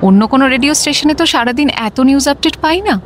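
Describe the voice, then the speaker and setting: A woman's voice: high-pitched, lively intonation, rapid-fire, slight background noise. A woman playing a brilliant, feisty young doctor and anatomy professor in an audio drama. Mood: distracted, polite.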